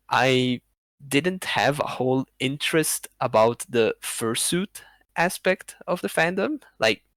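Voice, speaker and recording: A man as a podcast guest, telling a story in a rambling, animated way with laughs between phrases. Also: medium pitch, no room sound. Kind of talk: casual podcast chat.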